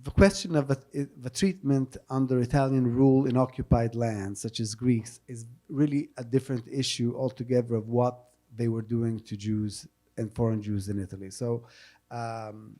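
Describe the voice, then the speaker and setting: A man's voice: mid-range voice, steady tone, normal speed, warm tone. A man moderating a public panel, explaining and translating off the cuff, carefully and with repeated self-corrections. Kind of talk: spontaneous panel answers and spoken translation. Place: live public event with audience questions.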